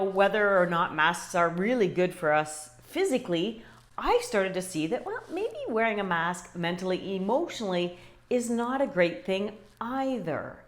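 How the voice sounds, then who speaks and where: A woman with a loud voice, talking casually and directly to her viewers as a wellbeing coach, full of questions for them. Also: medium pitch, normal speed. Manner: chatty, animated, talks straight to viewers with questions. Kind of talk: casual direct-to-camera talk.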